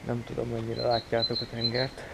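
Young man, casual vlogger, mid-pitched with gravelly fry, noisy outdoor camera audio. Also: monotone. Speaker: vlogger filming behind the scenes. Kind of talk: casual vlog talk.

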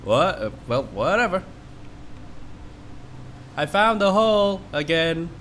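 A man's voice: a little high, sing-song, takes it slowly, fairly bright tone, a little hiss or hum. A man with a stuffy nose from a cold, giving rambling, reactive commentary while he plays a horror game and talks to the screen. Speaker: let's play host. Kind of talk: casual gameplay commentary.

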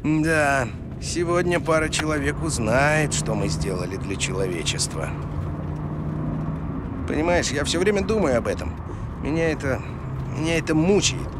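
A man's voice: a little high, expressive, moderate pace, natural voice. A man playing an earnest, urgent Russian special forces comrade in a military shooter game dub. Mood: grim, reflective. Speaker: squad member giving orders. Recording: loud car and street noise behind. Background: vehicle engine and city noise.

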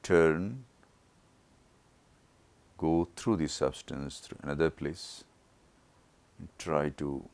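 A man narrating his own eye surgery as a teaching lesson, his voice rough from a throat infection, explaining each step in a measured way. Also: rumbling low, a little mellow. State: recovering from a throat infection, voice not normal. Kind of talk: slow surgical teaching narration.

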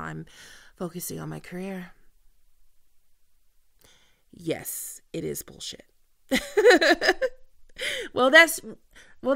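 A woman performs a female team leader in an intimate ASMR roleplay, flirty and easily flustered. Her voice crackles with very heavy fry at a middling pitch. She talks slowly and haltingly, straight to the listener. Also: a bit bright, heavily acted. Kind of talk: ASMR roleplay audio drama, one-sided conversation.

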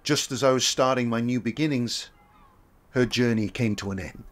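An actor playing a calm older mentor who gently encourages a young woman to chase her dream. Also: mid-range voice, normal speed, natural voice. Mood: encouraging.

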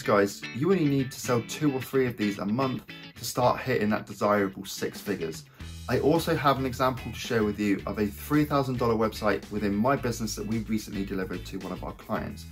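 Croaky-voiced man hosting a tutorial. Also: mid-range voice. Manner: upbeat, direct, addresses viewers as guys. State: croaky voice from a recent cold. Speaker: web design channel host. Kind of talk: scripted talking-head tutorial. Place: small home office with shelves, indoors.

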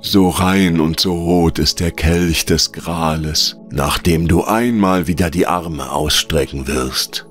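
A man voicing devout rose souls in a slow, solemn manner. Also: deep voice, fairly bright tone, faint music behind.

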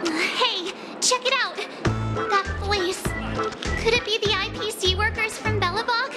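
A woman's voice: very high-pitched, measured pace. A woman voicing a bubbly, curious teenage girl adventurer in an anime game. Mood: surprised. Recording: loud music under the voice.

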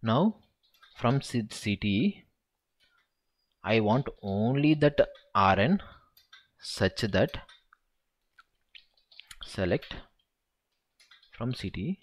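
A man with a lowish voice talks through a coding problem while he types. He thinks aloud and counts each step out slowly. It sounds like a desktop mic picking up a screen recording. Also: lively intonation. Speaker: SQL tutor.

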